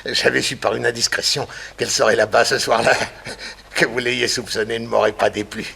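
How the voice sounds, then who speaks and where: An older, unhinged killer from a vintage radio thriller. The voice is husky and gravelly with a sharp, forceful edge. He gloats cynically and theatrically over his revenge. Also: medium pitch, level tone, a little fast, full character voice. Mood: cynical satisfaction. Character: gloating mad older male murderer, vengeful and cynical. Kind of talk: 1960s French radio crime drama acting.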